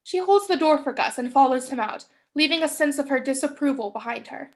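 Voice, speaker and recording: A woman's voice: high voice, expressive, brisk, a little mellow. A young woman reading stage directions as scripted narration in a staged play reading. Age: young adult.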